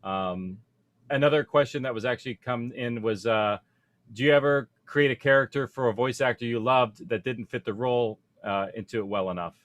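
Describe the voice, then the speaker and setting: A man's voice: mid-range voice, moderate pace. A man speaking as a producer on a panel, explaining practical matters informally and conversationally, thinking aloud as he goes.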